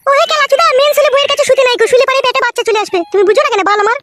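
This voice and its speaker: A woman voicing a scolding, sharp-tongued young village wife in a crude comedy cartoon, curt and bossy. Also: extremely high pitch, rapid-fire. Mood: annoyed, dismissive.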